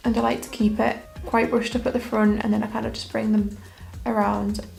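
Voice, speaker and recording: A young woman makeup artist talking through a casual makeup tutorial in a hoarse, croaky voice, her delivery relaxed and monotone with plenty of fillers. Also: on the high side, brisk, soft background music. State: croaky, hoarse voice after illness. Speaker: makeup artist and beauty vlogger.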